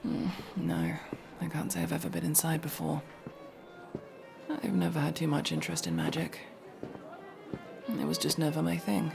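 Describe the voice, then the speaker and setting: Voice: mid-range voice, expressive, normal speed, creaky, long pauses. A performer voicing a guarded, sardonic masked elf rogue in a casual ASMR roleplay, speaking to the listener with hesitant asides. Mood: wry, casual. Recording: music playing behind the voice.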